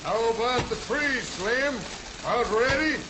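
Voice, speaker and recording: An actor playing a rough, bossy outlaw gang leader in an old-time radio western, on a noisy recording. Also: male voice, extremely high pitch, expressive, slow pace, exaggerated voice. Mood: tense.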